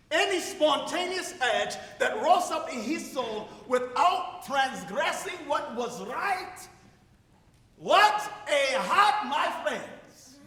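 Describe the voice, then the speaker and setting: An actor playing an ageing, idealistic South African schoolteacher in a theatrical stage monologue, declaiming earnestly and philosophically with emphatic pauses. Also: male voice, extremely high pitch, sharp tone, heavily acted. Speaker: drama student performing a monologue. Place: large ceremony hall stage. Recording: echoey hall, distant stage sound.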